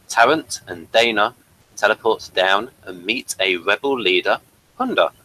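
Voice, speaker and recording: A man's voice: lowish voice, bright, forceful tone, a little hiss or hum, poor audio. A man hosting a casual review chat, rambling with self-conscious little asides. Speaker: podcast host reading an episode summary and discussing it.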